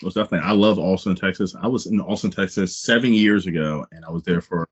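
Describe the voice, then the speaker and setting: A man's voice: low voice, quick pace, husky, muffled recording. An American man hosting a casual interview, speaking with enthusiasm and repeating phrases for emphasis. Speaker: podcast host interviewer.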